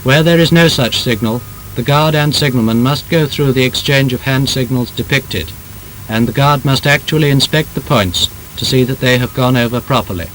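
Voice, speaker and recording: A British man narrates a vintage training film in a formal, measured voice. His delivery is emphatic and scripted, in the manner of 1930s instruction. The old soundtrack carries a faint rumbling noise, and his pitch sits in the middle of the male range. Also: a bit bright, poor audio.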